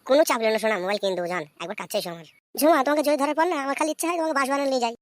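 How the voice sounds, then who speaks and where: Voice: high voice, expressive, very fast, no echo. A performer voicing a lovestruck, lecherous young village man in a comic cartoon, wheedling and sweet-talking. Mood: lustful, cajoling. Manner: wheedling, cajoling. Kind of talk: comic Bengali cartoon voice acting.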